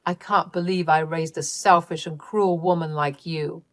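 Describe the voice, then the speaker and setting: A woman's voice: low-pitched, fairly even intonation, normal speed, dry recording, studio quality, natural voice. A woman voicing a stern, betrayed mother in a story, scolding her grown daughter with bitter sarcasm. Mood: disgusted.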